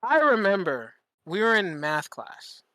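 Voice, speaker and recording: A man's voice: sits high, big pitch swings, measured pace, sharp tone, dry recording, low-quality recording. A man chatting casually as a podcast host, telling stories in an emphatic, profane way and repeating phrases for effect. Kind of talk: casual chat, animated storytelling.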